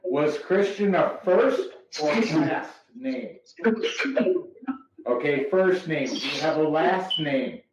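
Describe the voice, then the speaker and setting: A man acting as a paranormal investigator, calmly asking a spirit one question after another in a recorded session. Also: on the high side. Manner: slow, patient questioning. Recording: echoey far-off session clip with crowd noise.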